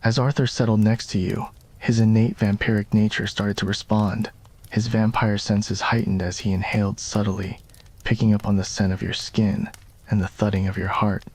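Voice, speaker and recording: A man with a fairly low voice narrates a romantic story in ASMR style. The delivery is scripted storytelling, read as a roleplay. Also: flat delivery, moderate pace, a little mellow.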